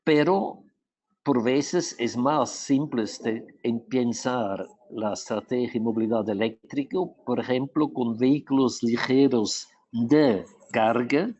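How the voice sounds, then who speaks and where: A middle-aged man from Switzerland, an urban planner giving a remote conference talk in Spanish mixed with Portuguese, halting with many restarts. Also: medium pitch, dark tone, lo-fi sound. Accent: Swiss, Portuguese-tinged Spanish. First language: not Spanish; speaks Spanish mixed with Portuguese. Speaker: urban planner and mobility specialist, conference speaker.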